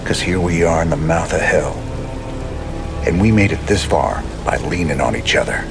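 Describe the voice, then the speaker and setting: Low-voiced rebel commander. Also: male voice, lively intonation, normal speed, vocal fry, exaggerated voice. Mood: rousing, determined. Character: weary, determined rebel commander, plainspoken and idealistic. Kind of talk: video game cutscene acting. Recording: loud music and rain sounds behind.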